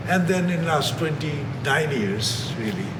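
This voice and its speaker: A man's voice: on the high side, expressive, a little slow. A middle-aged man from Bangladesh, a charity director, answering interview questions earnestly with frequent restarts. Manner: earnest, passionate, many restarts. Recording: echoey hall, far from the mic, noisy.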